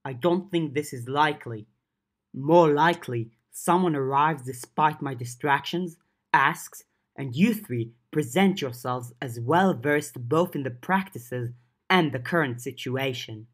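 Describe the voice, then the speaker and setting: A narrator plays a mysterious, composed female spirit in an audiobook. She speaks formally and at length, in measured phrases, advising her young allies. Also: mid-range voice, husky. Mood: measured warning.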